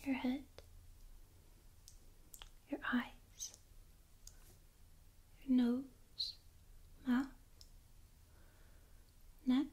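A young woman speaking softly and in whispers, slowly soothing the listener in an ASMR role play, close on a binaural microphone. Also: high-pitched, little pitch movement. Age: young adult. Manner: slow, soothing, hushed guiding. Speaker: ASMR performer playing a Reiki practitioner.

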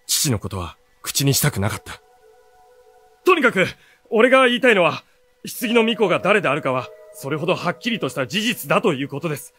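An earnest, lively teenage boy hero. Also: fairly high pitch, fairly quick, breathy edge, faint music behind, full character voice. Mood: reflective. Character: earnest, sharp-witted teenage boy, the story's narrating hero. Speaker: radio drama actor. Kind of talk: radio drama acting with first-person narration.

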